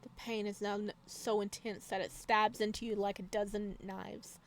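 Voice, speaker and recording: A woman doing a video game let's play, reading game text aloud with expressive drama and adding casual asides. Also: medium pitch, moderate pace. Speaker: gaming video creator.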